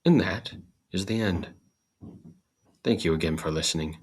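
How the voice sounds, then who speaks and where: A man reading a scripted storybook tale as host and narrator, in a measured, deliberate manner. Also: low-pitched, darkish tone, husky.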